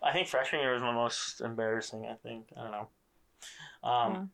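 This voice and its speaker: A young man in his twenties chatting on a podcast in a mid-range voice. He tells stories in a relaxed way and teases his co-host often. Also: mid-range voice, moderate pace, gravelly, poor audio. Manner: relaxed, teasing, storytelling. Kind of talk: casual chat.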